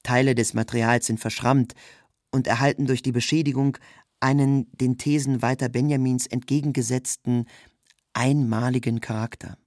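A man's voice: mid-range voice, steady tone, moderate pace, a little mellow, no room sound, studio quality. A man reads scripted literary narration in with a sober, documentary delivery. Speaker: narrator, reader of a literary text.

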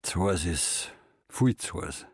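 A man's voice: low-pitched, animated, takes it slowly, husky, no room sound, studio quality, heavily acted. An actor playing a frail, grumpy old fisherman who speaks in terse, grumbling remarks.

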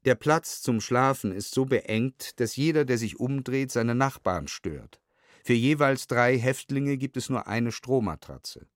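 A man reading a scripted documentary audiobook in a measured, sober way with precise enunciation. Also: medium pitch, lively intonation, dry recording, clean studio sound. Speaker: narrator reading historical documents. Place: studio.

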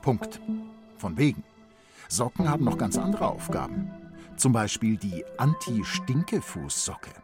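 A man's voice: medium pitch, very animated, unhurried. A man reading scripted explanatory quiz narration for children. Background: music.

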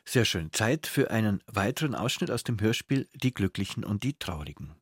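A man hosting a cultural radio interview, phrasing his questions carefully and deliberately. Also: lowish voice, sing-song, slightly rough, no room sound, studio quality. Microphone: close, dry studio microphone.

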